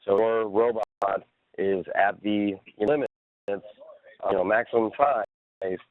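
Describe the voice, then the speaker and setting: Guy with a lowish voice walking thru practical tips on a conference call, thin phone audio, no room echo. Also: takes it slowly. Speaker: team mentor presenting advice. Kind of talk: casual step-by-step explanation. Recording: narrow phone conference line, radio-like.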